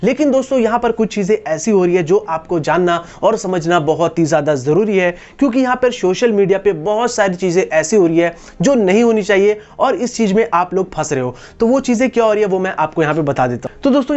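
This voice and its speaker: A man's voice: high voice, a little mellow, muffled recording. A man in his twenties with an Indian accent, explaining things directly to his viewers as a YouTube host. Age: 20s. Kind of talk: explanatory talk to camera. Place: indoors, small room against a wall. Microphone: lapel mic.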